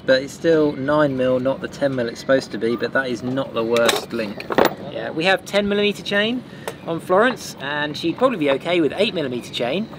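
A man in his thirties, a sailing vlogger, casually explaining maintenance work on his boat outdoors. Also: mid-range voice. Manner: relaxed, practical, explains while working. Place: outdoors on a boat and a marina dock. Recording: outdoor noise behind.

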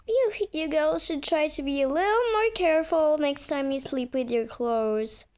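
A firm, scolding mother in a cartoon family story, voiced by a woman. Her voice is high and her delivery slow and reprimanding. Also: darkish tone. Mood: stern. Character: stern, scolding mother of squabbling girls. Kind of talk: animated roleplay story acting.

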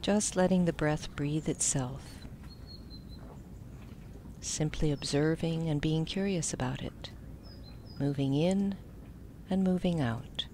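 A woman leading a guided meditation as an instructor, speaking gently with soft phrase endings. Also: deep voice, takes it slowly, long pauses, slight background noise.

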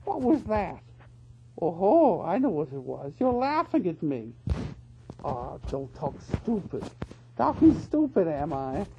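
A man voicing a pair of quarrelling weasel guards in a storybook reading, in a shrill, yelping manner. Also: steady tone, moderate pace, darkish tone. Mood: accusing. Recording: dull lo-fi recording with faint hum.

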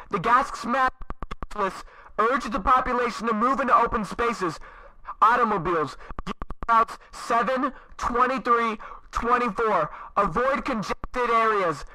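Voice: medium pitch, bright, forceful tone, dry recording, low-quality recording. A performer playing an urgent radio announcer giving emphatic public warnings in a radio play.

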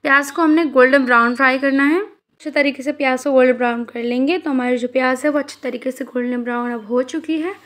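A woman's voice: high-pitched, steady tone, fast, a bit bright. A woman hosting a home cooking channel, talking viewers through a recipe step by step and speaking to them directly. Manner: explains each step, addresses viewers directly.

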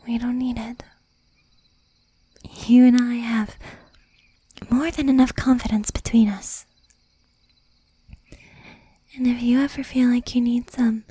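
A woman playing a tender, possessive young demon girlfriend in an affectionate, whispered ASMR roleplay, soft and breathy. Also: on the high side, no echo, full character voice. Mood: gently confident.

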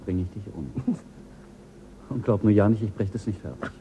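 A man's voice: on the low side, lively intonation, moderate pace, dark tone, slight background noise, close to an everyday voice. An actor playing Jell, the stern male pilot of a spaceship, in a German radio play, talking in a firm, commanding and threatening way.

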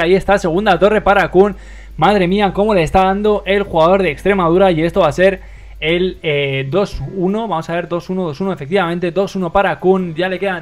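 A young man from Madrid with a Spain Spanish accent, hosting a livestream and commentating a mobile game match with lots of fillers. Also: fairly high pitch. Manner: excitable play-by-play, many fillers. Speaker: livestream host and caster.

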